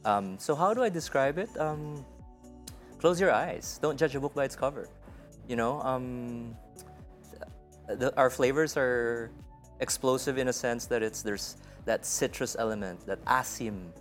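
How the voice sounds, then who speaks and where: A man from the Philippines with a Filipino accent, a chef talking casually in an interview, relaxed and halting, slipping in Filipino phrases. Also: mid-range voice, soft background music. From Philippines (Bacolod). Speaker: chef guest.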